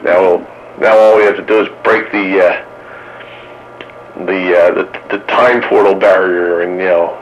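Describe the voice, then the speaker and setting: A man's voice: deep voice, steady tone, a little slow, lo-fi sound. A man chatting casually and jokingly over CB radio. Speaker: amateur radio operator. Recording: slightly noisy CB radio transmission.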